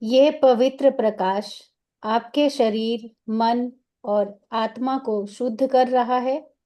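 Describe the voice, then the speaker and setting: Female meditation guide, Indian accent, soft-edged mellow tone, very slow delivery in short soothing phrases. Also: fairly high pitch, fairly even intonation. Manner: soothing, unhurried, instructing in short phrases with pauses.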